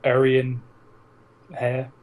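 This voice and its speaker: A man's voice: mid-range voice, completely flat delivery, drawn-out words, background noise. A British man co-hosting a podcast in casual, teasing, sweary banter with a friend. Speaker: podcast co-host.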